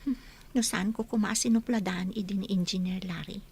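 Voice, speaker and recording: A woman's voice: medium pitch, steady tone, brisk, a little mellow, husky. A woman with an Ilocano accent playing a gossipy, envious village wedding guest in a radio comedy drama. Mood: gossipy, wistful.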